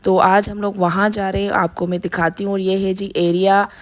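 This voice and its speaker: A woman in her thirties from Pakistan with a hoarse, scratchy throat, narrating her vlog in a chatty way full of fillers. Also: mid-range voice, level tone, moderate pace. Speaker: vlogger. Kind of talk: vlog voice-over.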